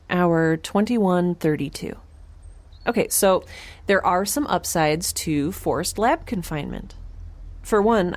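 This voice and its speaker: A woman's voice: fairly low pitch, darkish tone. A woman playing a wry, chatty young space scientist, recording an informal, rambling log in an audio drama. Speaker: narrator and lead character.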